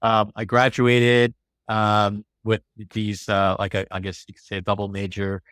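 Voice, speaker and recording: A man's voice: on the low side, monotone, a little slow, a bit bright, clean tone, no echo. A man in his forties with an American accent, telling his life story as a podcast guest, casual and rambling with many fillers. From California.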